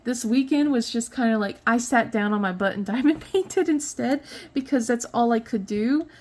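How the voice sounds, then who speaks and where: A woman's voice: on the high side, moderate pace, a little mellow. Chatty, sniffly woman vlogger. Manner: rambling, many fillers and restarts, light laughs. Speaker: crafting vlogger. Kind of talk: casual chat while diamond painting.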